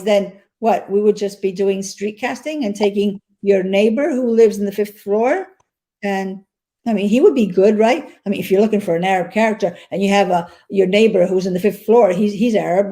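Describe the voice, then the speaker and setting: A woman's voice: mid-range voice, moderate pace, warm tone. A woman from North Africa, an actress, speaking earnestly in a casual interview and often repeating words as she finds them.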